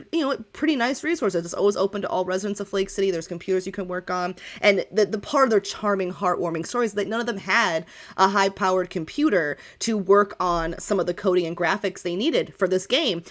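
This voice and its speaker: A woman's voice: medium pitch, a bit bright. A woman runs a tabletop game as game master, improvising as she goes. Her delivery is informal and rambling, and she often backtracks to correct herself mid-thought.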